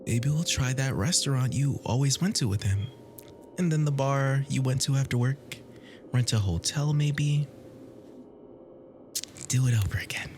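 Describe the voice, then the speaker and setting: A performer playing an obsessive, jealous, violently devoted lover in an ASMR roleplay, theatrical and swinging between breathy whispers and manic laughter. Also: mid-range voice, a little mellow, a little hiss or hum. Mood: soft, obsessive. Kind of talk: ASMR roleplay audio drama.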